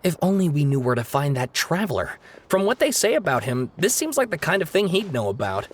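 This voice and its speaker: A man's voice: on the high side, big pitch swings, brisk. An actor playing an earnest young male student who talks in a fussy, hesitant way, with plenty of fillers.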